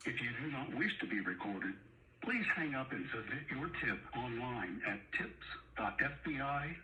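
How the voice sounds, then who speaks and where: A man reading a scripted, formal automated phone menu announcement with measured enunciation. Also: medium pitch, sing-song, sharp tone, poor audio. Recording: phone menu recording with faint noise.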